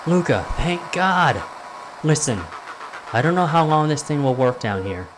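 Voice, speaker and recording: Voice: medium pitch, expressive, unhurried, noisy background. A performer as a frightened, urgent boy on walkie-talkie. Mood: urgent, scared.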